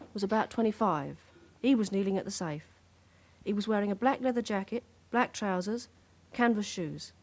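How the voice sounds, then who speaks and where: A woman's voice: mid-range voice, darkish tone, lightly acted. An actress playing a composed British policewoman in a radio drama, reporting matter-of-factly in clipped phrases. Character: composed, dutiful policewoman reporting to her sergeant.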